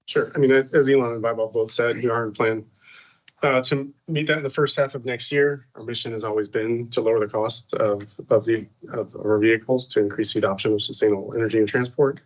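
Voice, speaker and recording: A man's voice: mid-range voice, steady tone, a little fast. A man working as a company executive, giving an unscripted answer to a question on an earnings call. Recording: dull band-limited conference call audio.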